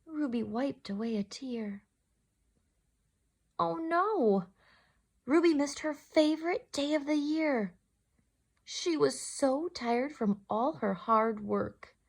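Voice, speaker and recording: A woman's voice: high-pitched, animated, takes it slowly, gravelly, pauses often. A woman storyteller reading a children's picture book aloud expressively, addressing young listeners directly. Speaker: storyteller, host.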